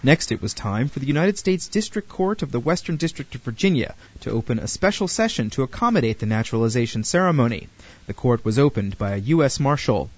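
A man narrates quickly in a husky voice, briskly laying out what happens next. The recording sounds dull and lo-fi. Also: mid-range voice, expressive. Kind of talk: documentary voice-over.

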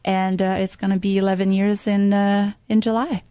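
A woman's voice: mid-range voice, level tone, moderate pace, mellow, clean tone, dry recording. A middle-aged woman from Quebec with a French Canadian accent, speaking English as a second language and chatting enthusiastically about tourism in an interview, with frequent fillers. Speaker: tourism marketing guest. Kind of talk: conversational interview.

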